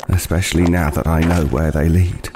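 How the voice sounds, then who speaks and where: A man's voice: extremely low pitch, level tone, music in the background. An actor playing a weary, wary homeless man, hesitantly telling an unsettling tale in an audio play. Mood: uneasy, ominous. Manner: hesitant, halting, haunted, with repeated words. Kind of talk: dramatic first-person storytelling in an audio play.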